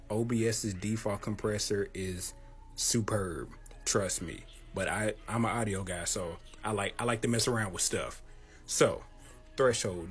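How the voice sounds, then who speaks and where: A man in his thirties with a deep, boxy voice, talking close to a handheld mic as he casually walks through his audio setup in a small home room. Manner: laid-back, slangy, talks close to the mic. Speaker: content creator explaining his audio setup. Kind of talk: casual tutorial. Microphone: handheld close-up microphone.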